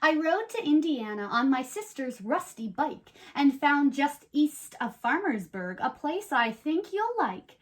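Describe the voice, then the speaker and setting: A woman with a high, very lively voice reads aloud as a children's show host. She tells stories expressively, with playful chat, and explains things in simple terms for young listeners. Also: a little slow, few pauses.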